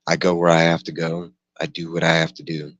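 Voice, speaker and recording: A man's voice: low-pitched, completely flat delivery, fairly quick, fairly bright tone, no echo. A man voicing a guarded, defiant wandering gunslinger in a storybook, speaking tersely. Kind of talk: storybook character dialogue.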